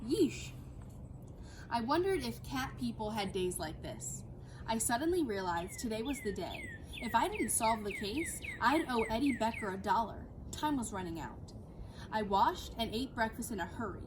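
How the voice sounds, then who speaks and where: A woman teacher speaking and reading aloud to children in an animated, affectionate, expressive way. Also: on the high side, moderate pace. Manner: animated, addressing young listeners affectionately. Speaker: teacher reading a story to pupils. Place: outdoors in a backyard. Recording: clear background noise, outdoor ambience.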